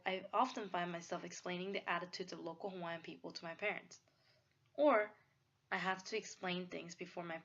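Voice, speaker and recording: A young woman from Korea, with Korean as her first language, reading a prepared personal essay in an earnest, reflective way. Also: on the low side. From South Korea. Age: young adult. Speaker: student presenter. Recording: dull lo-fi recording.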